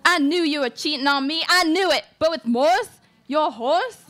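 A woman's voice: high-pitched, normal speed, a bit bright. A young woman playing an aggrieved, accusing wife in a student radio play at a close microphone. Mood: furious. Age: young adult. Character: indignant, accusing wronged Western wife. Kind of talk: student radio theatre acting. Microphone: close stage microphone.

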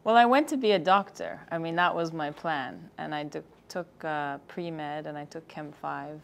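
A woman in her thirties answers interview questions about her work, thoughtful and a little halting as she recounts her path. Her voice sits low for a woman, with a faint hum of room noise underneath. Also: creaky. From Egypt. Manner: thoughtful, slightly halting storytelling with restarts. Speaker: guest filmmaker. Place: studio set with screens behind.

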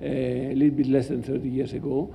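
An older man with a Greek accent giving an academic lecture as a professor, halting and explanatory, speaking into a microphone in a lecture hall. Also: medium pitch, little pitch movement, creaky, dark tone, a little hiss or hum. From Greece. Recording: dull, muffled lecture-hall recording.

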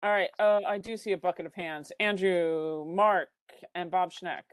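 A woman chairing a video-call board meeting, brisk and upbeat as she calls on speakers. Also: lowish voice, clear, resonant tone, no echo.

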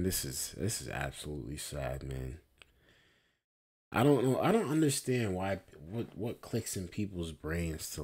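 A young man giving casual reaction commentary on a news clip, slow and drawn-out, often ending lines with "man". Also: sits low. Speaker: video commentator. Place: small room indoors.

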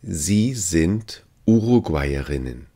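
A man's voice: low voice, very animated, slow, mellow. A man teaching language phrases, saying each one three times carefully and evenly. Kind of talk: slow repeated phrase drill.

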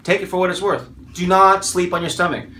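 A man's voice: high voice, brisk, a little hiss or hum. A middle-aged man, a chiropractor, talking and joking with a patient and the viewers during a session in a small office. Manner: jokey, animated, talks to viewers. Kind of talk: chatty patient session on camera. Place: small office with framed pictures.

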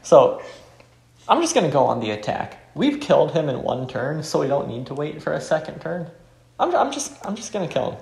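Gravelly man chatting over a game. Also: fairly high pitch, sing-song, fairly quick, a little mellow. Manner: self-deprecating, jokey. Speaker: game developer playing own game. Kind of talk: casual playthrough chat. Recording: roomy echo, faint music behind.

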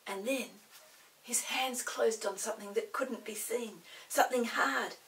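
A woman in her sixties narrating a children's story expressively, in a dramatic, deliberate manner. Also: fairly high pitch, fairly bright tone, distant mic, low-quality recording. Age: 60s. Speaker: storybook reader. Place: small indoor set with dark backdrop.

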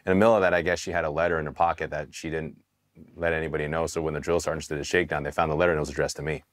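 A man with an extremely low voice speaks in a seated studio interview. He tells his story candidly and casually, swearing now and then and restarting his sentences. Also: level tone, rapid-fire. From Taiwan, raised in California. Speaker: Army veteran interviewee. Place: dark studio set, indoors. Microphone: hidden mic, seated on camera.

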